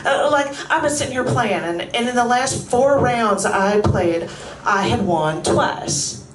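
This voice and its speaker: A woman's voice: on the high side, lively intonation, measured pace, far from the mic, slight background noise. A woman playing a rambling confined woman with a Southern drawl. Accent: put-on Southern US. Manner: rambling, stammering, nervous laughs. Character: confined woman, chatty, restless, feigning craziness. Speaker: performer of a solo stage monolog. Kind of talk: live theatrical monolog. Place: coffeehouse stage before a live audience. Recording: roomy room, mic set back.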